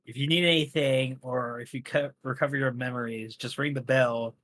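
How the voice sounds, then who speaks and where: A man voicing a flustered, chatty young female unicorn scholar in a high, drawn-out put-on voice. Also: fairly even intonation, a bit bright, lightly acted. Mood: awkward polite.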